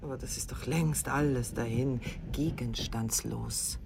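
An actress playing a seductive, teasing middle-aged newlywed in a radio drama, worldly and unrepentant, with an ironic, coquettish way of talking. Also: female voice, very deep voice, measured pace, gravelly, noisy background, heavily acted. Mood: defiant, ironic.

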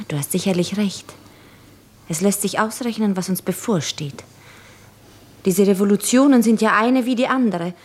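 An actress playing a clear-sighted, devoted woman who reasons insistently in a German radio play. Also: mid-range voice, moderate pace, pauses often, a little mellow, close to an everyday voice. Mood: sober, disillusioned.

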